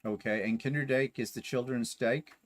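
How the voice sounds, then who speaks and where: A man's voice: mid-range voice, steady tone, normal speed. A man chatting casually as a live show co-host, with a wry, joking way of chiming in. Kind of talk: casual chat.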